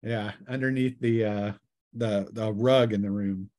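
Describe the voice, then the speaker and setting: A man's voice: fairly low pitch, fairly even intonation, measured pace, a little mellow. A man acting as game master, casually describing scenes and rulings in a tabletop fantasy game.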